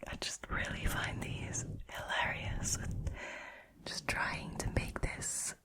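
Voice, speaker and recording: A woman speaking in a breathy whisper, telling short stories and chatting casually about them in a relaxed ASMR style. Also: slow pace. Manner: informal, chatty, amused. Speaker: host reading and reacting to short stories.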